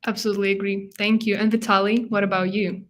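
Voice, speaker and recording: A woman's voice: mid-range voice, little pitch movement, quick pace, a little hiss or hum. A woman hosting an online panel over a video call, friendly, with frequent "you know". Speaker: host.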